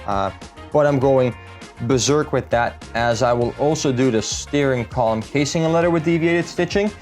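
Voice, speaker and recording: A man's voice: mid-range voice, normal speed, darkish tone, soft background music. A man from the Netherlands, talking through a car build in a casual, matter-of-fact vlog style as a salesman would.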